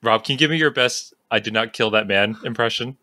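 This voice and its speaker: Male podcast host, Long Island accent, playful and quick to laugh, crisp bright tone. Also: mid-range voice, no room sound. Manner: joking, playful, laughs often. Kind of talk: casual chat.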